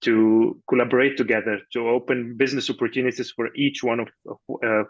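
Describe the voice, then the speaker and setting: Halting man on a dull video call. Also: mid-range voice, level tone, no echo. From Egypt. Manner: halting, repeats words, many fillers. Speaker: guest speaker. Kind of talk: online meeting speech.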